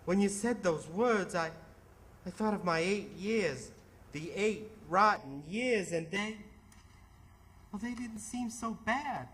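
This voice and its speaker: An actor playing a distraught, bitter and weary ambulance driver in old-time radio theatre, with an emotional, halting, stammering delivery. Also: male voice, high-pitched, lively intonation, a bit bright, exaggerated voice. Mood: halting, awed.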